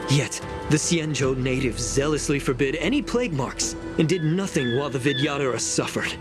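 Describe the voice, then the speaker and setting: A man acts out a bitter old clan elder from a fantasy video game. He speaks in a formal, measured way with sardonic bite at a middling pitch, and music plays clearly behind him. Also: close to an everyday voice. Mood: grave, defiant. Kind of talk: scripted video game dialogue.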